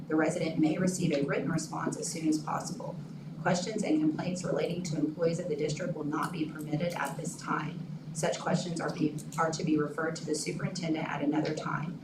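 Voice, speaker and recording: A woman from Oklahoma with a slightly scratchy voice, chairing a school board meeting and reading the rules aloud. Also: deep voice. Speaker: board president. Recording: echoey room, distant mic, noisy.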